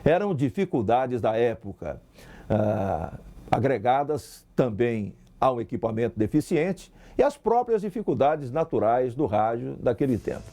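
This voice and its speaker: A man's voice: mid-range voice, very animated, measured pace, mellow. A Brazilian man, a sports broadcaster, recalling past work in an interview in a reflective way with emphatic lists. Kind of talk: interview recollection.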